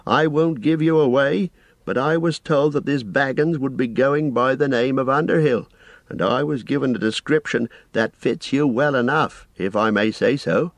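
A man voicing a fussy, forgetful old innkeeper who rambles in a flustered, drawn-out way. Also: medium pitch, no room sound, pitched above the performer's own voice, lightly acted. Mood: flustered rambling.

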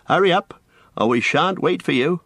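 A man voicing a cheerful, bossy young male hobbit who gives brisk orders in a raised, lively voice. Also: a little high, slightly rough, no room sound, clean studio sound, voice pushed up, heavily acted. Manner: brisk, commanding, exclaiming.

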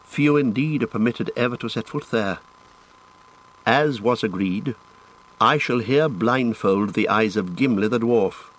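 A man reading an audiobook, voicing a stern male elf guardian who speaks in a measured, formal way. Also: mid-range voice, close to an everyday voice, poor audio.

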